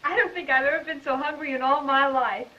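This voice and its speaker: A woman acting a plucky, high-voiced 1930s heroine. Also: brisk, lightly acted. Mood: weary, grateful. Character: plucky, proud young woman down on her luck. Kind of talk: 1930s film comedy-drama acting. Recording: noisy, muffled 1930s film soundtrack.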